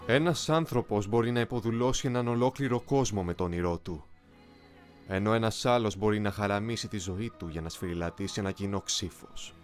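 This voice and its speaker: A man playing a young, eloquent, coolly ambitious soldier in an anime fan dub, speaking in a formal, measured, philosophical way. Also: lowish voice, close to an everyday voice. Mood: grave, philosophical. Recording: music behind the voice.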